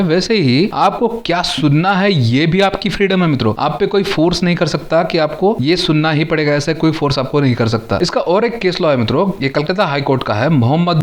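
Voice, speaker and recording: A man with an Indian accent presenting a didactic legal explainer, addressing listeners directly. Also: fairly high pitch. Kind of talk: explanatory law lecture to camera or listeners.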